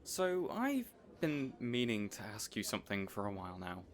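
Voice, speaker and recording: A man playing a boyish, caring supervillain boss in an audio roleplay, earnest and rambling, hesitant yet excitable. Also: on the low side, moderate pace. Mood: tentative.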